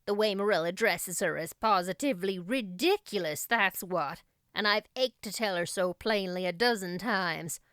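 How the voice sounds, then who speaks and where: An opinionated older country gossip, played by a woman reading aloud. She is folksy, emphatic and plain-spoken, with a clear voice recorded close and dry, as in a storybook. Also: mid-range voice, moderate pace, fairly bright tone, no echo, natural voice. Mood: disapproving. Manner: emphatic, plain-spoken, rustic turns of phrase.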